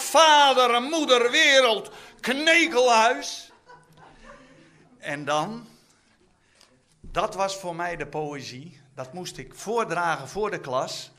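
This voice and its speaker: A man's voice: very high voice, animated, takes it slowly, bright, forceful tone. A man performing poetry and quizzing in a theatrical, declamatory live manner. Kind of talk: dramatic live poetry recitation and quiz.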